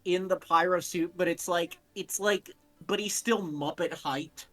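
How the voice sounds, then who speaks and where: A man's voice: high voice, measured pace, fairly bright tone, faint music behind. A man co-reading a story with friends, chatting in casual comic banter. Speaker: co-reader and character voice.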